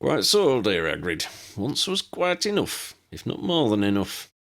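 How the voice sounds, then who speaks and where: A man's voice: mid-range voice, wildly swooping pitch, measured pace, fairly bright tone, voice pushed up, full character voice. A man voicing a short, tremulous old wizard pilot, fussy and obliging, in a storybook reading. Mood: obliging, chatty. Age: older. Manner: chatty, repeats himself.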